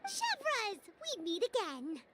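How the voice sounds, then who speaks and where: A tiny floating game companion with a squeaky, bouncy, childlike voice that sits extremely high and leaps all over the place. She draws her lines out slowly, and bright game music plays clearly underneath. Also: wildly swooping pitch, heavily acted. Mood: chirpy. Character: tiny floating female companion, chirpy and curious. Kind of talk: video game voice acting. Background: game score music.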